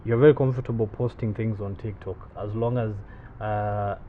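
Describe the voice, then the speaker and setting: A man's voice: lowish voice, steady tone, moderate pace. A young man hosting a podcast, asking his questions with many hesitant restarts. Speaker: podcast host. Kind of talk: casual interview questions. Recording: thin phone-like audio with faint noise.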